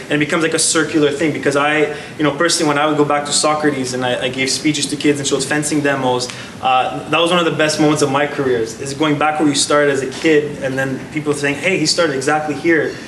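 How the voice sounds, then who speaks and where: A man's voice: a little high, flat delivery, quick pace, a bit bright. A man in his thirties, a former athlete, answering onstage interview questions earnestly, with many restarts. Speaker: guest speaker, former athlete. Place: large gala hall. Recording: echoey hall, heard from far off, noisy.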